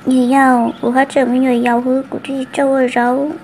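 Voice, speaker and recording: A woman's voice: high-pitched, flat delivery, brisk, darkish tone, a little hiss or hum. A woman voicing a female character in a family folk-tale cartoon. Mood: conversational.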